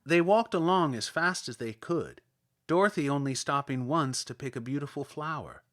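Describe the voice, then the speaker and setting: A man reading a children's story as an expressive audiobook narrator. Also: fairly high pitch, moderate pace.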